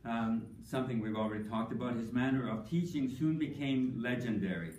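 An older man giving a prepared tribute speech at an academic conference, measured and dry-humored, with frequent ums. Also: mid-range voice, a little mellow, slight background noise. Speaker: panelist speaking at an academic tribute session. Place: conference hall. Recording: echoey hall, voice from a distance.

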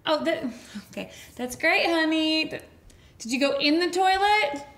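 High-voiced exasperated mom in her 30s yelling thru a door, echoey hallway. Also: expressive, measured pace. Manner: pleading, exasperated, coaxing. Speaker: mother. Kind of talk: comedy sketch acting. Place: home hallway by bathroom door. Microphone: boom mic.

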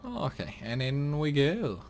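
A man's voice: a little high, sing-song, takes it slowly, a little mellow, slight background noise. A young man giving rambling, casual commentary over a game playthrough. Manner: chatty, rambling, lots of likes and uhs. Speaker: gaming youtuber.

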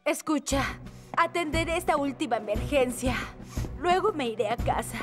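A young cartoon firefighter with Latin American Spanish, full of determination. Her voice is extremely high, with loud music behind it. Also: animated, normal speed. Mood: determined. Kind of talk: animated children's series dub.

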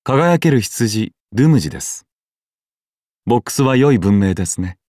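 Game sheep deity, male actor: solemn, polite deadpan with whimsical swings in intonation, dry close sound. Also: medium pitch, normal speed, no echo, natural voice. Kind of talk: scripted game character voice lines.

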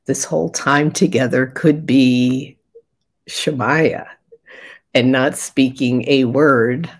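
An older woman with a raspy voice, a spiritual guide leading a soft, meditative session with chanting, heard over a video call from a room at home. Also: rumbling low, fairly even intonation. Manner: slow, soft and meditative, with pauses.

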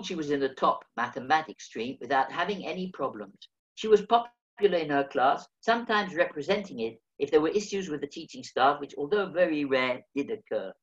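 A man's voice: on the high side, fairly bright tone, lo-fi sound. A man, reading his own memoir aloud over a video call with dry, wry humor. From England. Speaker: memoir reader.

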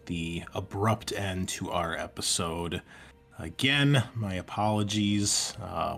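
Lower-voiced man running a tabletop game. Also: a bit bright. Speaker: game master and channel host. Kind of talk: casual tabletop game talk.

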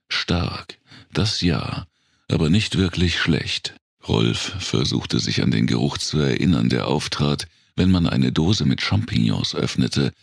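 A man with a deep, rough, gravelly voice reading a dark thriller as dramatic scripted narration. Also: no echo, studio quality. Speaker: audiobook narrator.

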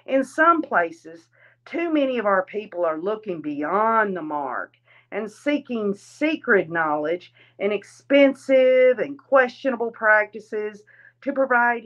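A woman reading a religious address aloud in a deliberate, solemn manner. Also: on the high side, a bit bright, lo-fi sound. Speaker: reader.

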